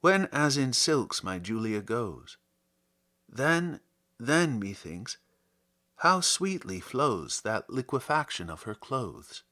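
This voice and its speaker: A man reading classic poetry aloud in a theatrical, deliberate and expressive manner, as a podcast host. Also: mid-range voice. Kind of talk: dramatic poetry recitation.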